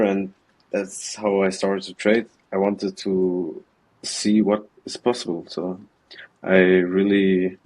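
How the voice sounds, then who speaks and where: A man's voice: lowish voice, darkish tone, rough recording. Hesitant young Austrian-accented man. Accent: Austrian German. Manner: hesitant, halting, with many ums. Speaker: guest, student trader. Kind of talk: remote interview answers. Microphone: video call.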